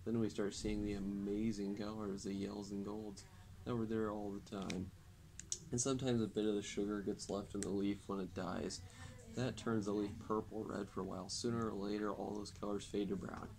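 Low, creaky young man reading aloud. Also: flat delivery, moderate pace, darkish tone, a little hiss or hum. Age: young adult. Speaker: reader. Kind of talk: reading a children's non-fiction book aloud. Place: indoors, public library, at a table.

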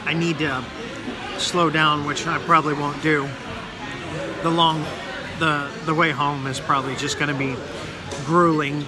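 A man's voice: fairly high pitch, little pitch movement, a little slow, pauses often, crowd noise. A man in his thirties vlogging casually about his meal in a busy sports bar, talking between bites into a phone held at arm's length. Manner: rambling, talks between bites with mouth full. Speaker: food vlogger. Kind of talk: casual vlog commentary while eating.

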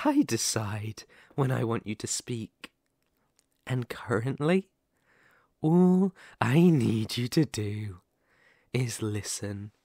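A man voicing a sadistic, possessive werewolf captor in an ASMR roleplay, speaking in a deliberate, condescending, threatening way. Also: medium pitch, no echo, clean studio sound.

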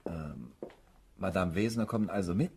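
An actor playing an awkward, polite gentleman suitor in a period radio drama, speaking haltingly. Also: deep voice, expressive, gravelly, a little hiss or hum. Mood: awkward, courteous.

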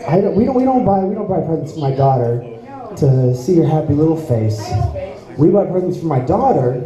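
A man's voice: fairly high pitch, expressive, a little fast, crowd noise. A young man doing a rambling, self-mocking stand-up comedy set on a small club stage, speaking into a handheld mic. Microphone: handheld mic, heard from the audience. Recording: echoey club with audience noise. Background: audience.

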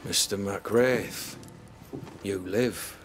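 An actor playing a despairing, fatalistic fort captain in a video game, speaking in formal, doom-laden phrases with bitter grandeur. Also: male voice, on the low side, faint music behind. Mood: weary despair. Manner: slow, grim, doom-laden formal speech. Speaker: military officer character in a video game. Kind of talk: dramatic video game acting.

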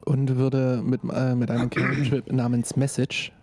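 A man playing a tabletop role-playing game, halting as he improvises and turning formal when in character. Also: mid-range voice, flat delivery, darkish tone, no echo. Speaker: player in tabletop role-play. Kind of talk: improvised in-character play and casual chat.

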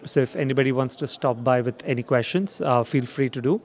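A man's voice: mid-range voice, a little fast, darkish tone. A young man presenting his company at a trade show demo theater, hesitant with many fillers. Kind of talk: trade show sales pitch. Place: dark expo demo theater.

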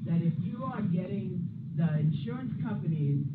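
A middle-aged woman with a big, forceful voice, answering as a panelist from a union background. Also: on the low side, lively intonation, normal speed, very noisy. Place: conference room with a panel table. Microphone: handheld mic. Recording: tinny phone-like sound.

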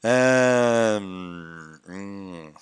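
A man's voice: low-pitched, drawn-out words, clear, resonant tone, dry recording, clean studio sound. A middle-aged man chatting casually on a game live stream, playfully reading and answering chat. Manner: chatty, playful, reads chat aloud and teases viewers. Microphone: headset with desk mic.

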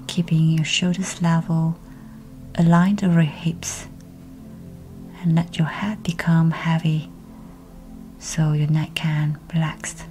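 Female meditation guide: low, hushed and breathy, very even delivery, faint music underneath. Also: slow pace, long pauses.

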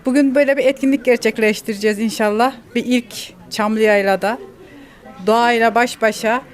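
A woman's voice: fairly high pitch, steady tone, a little fast. A woman farm owner answering casually in an interview outdoors on her farm. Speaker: farm owner and event host.

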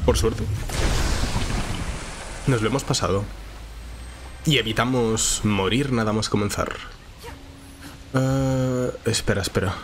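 Congested, nasal man with a lowish voice. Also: a little hiss or hum. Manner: informal, chatty, thinking aloud. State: sore throat from a cold. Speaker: gaming channel host. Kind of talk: casual Let's Play commentary. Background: game music.

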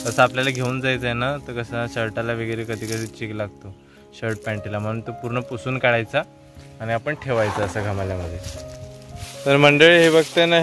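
A young man talks viewers through his work in an outdoor orchard, filming on a handheld phone. He explains each step in an easy, chatty way, with faint music under his voice. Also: medium pitch. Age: young adult. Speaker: vlogger. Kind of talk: casual outdoor vlog narration.

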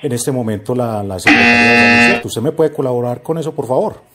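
A man's voice: mid-range voice, fast, loud music behind the voice. A Colombian man making a prank phone call, speaking politely and with mock earnestness like an official running a survey. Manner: polite, feigned earnest, flattering. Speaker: prank caller. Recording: very noisy telephone call recording.

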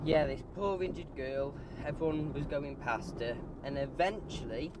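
A man's voice: on the high side, moderate pace. A man giving a casual vlog monologue in a hesitant, self-correcting way while driving. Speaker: vlogger. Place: inside a moving car. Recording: loud car engine and road noise. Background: car and road noise.

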